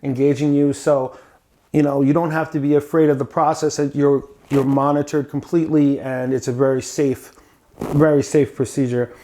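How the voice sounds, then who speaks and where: A man's voice: medium pitch, flat delivery, moderate pace, dark tone, a little hiss or hum. Chatty American man in his forties. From United States. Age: 40s. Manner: chatty, friendly, digresses to the viewers. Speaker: patient interviewer. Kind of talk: casual interview.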